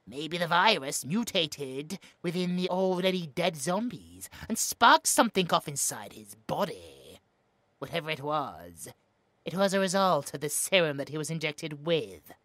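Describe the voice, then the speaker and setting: A man's voice: a little high, sing-song, a bit bright, no echo. A British man playing a smug, cruel mad scientist in a horror audio roleplay, with a mocking, snobbish manner. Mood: sinister, gloating. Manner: mocking, snobbish, drawn-out musing.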